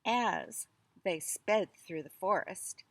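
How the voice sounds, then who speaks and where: A woman reading a children's story aloud with expressive, deliberate phrasing. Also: medium pitch, no room sound.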